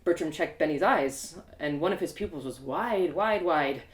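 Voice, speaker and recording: A woman reading a story live in an expressive, theatrical way, as host and narrator. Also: deep voice, normal speed. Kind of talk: animated first-person storybook narration. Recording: echoey room.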